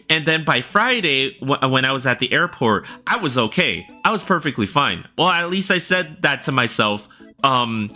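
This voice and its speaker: Male streamer, casual rambling chat to viewers, bright tone, faint music under. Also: mid-range voice. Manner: rambling, talks directly to viewers with frequent "right?". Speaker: livestreamer and illustrator. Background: faint background music.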